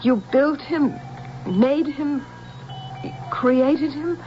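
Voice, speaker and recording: An innocent, devoted young woman in a vintage radio play. She speaks slowly and haltingly in a fairly high voice, full of feeling and wonder. The sound is dull, like an old broadcast with music. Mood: distressed. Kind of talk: 1970s American radio drama acting.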